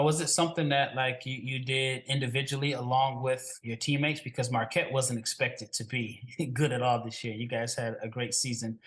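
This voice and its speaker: A man's voice: mid-range voice, a bit bright. A man hosting a basketball podcast, putting questions to a guest in a loose, conversational way, with "like" and restarts. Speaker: podcast host, draft analyst. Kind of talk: casual interview questions.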